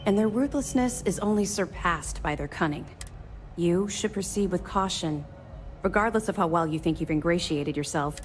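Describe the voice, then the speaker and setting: A woman's voice: lowish voice, moderate pace, soft background music, close to an everyday voice. A woman playing a cautious, dutiful space navy lieutenant, speaking formally and precisely in a scripted video game. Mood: cautionary. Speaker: military officer character in a space game.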